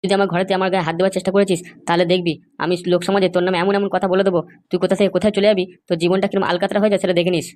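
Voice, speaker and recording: Woman voicing a fast-talking angry old grandfather. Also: on the low side, flat delivery, darkish tone, natural voice. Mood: threatening. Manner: scolding, threatening. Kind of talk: amateur comedy cartoon dub.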